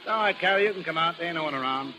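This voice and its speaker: A hard-boiled middle-aged American carnival boss, played by a man, quick and blunt with slangy lines. His high-set voice sits in a noisy old 1930s radio drama recording. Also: close to an everyday voice. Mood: impatient. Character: gruff hard-boiled sideshow boss, devoted father of grown son.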